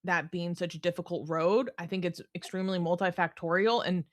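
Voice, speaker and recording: A woman guest in a casual political discussion, lively and teasing, laughing often. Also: lowish voice, fairly quick, no echo, studio quality.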